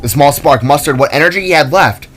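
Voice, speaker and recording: A man's voice: a little high, fast. A man giving a dramatic scripted narration of a fantasy story, as its narrator. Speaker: author and narrator. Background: soft music.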